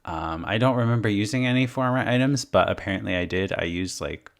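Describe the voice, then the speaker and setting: A man with a hoarse voice after being sick, giving a rambling, casual voice-over commentary full of fillers as a gaming creator. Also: fairly low pitch, a little fast. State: hoarse from illness.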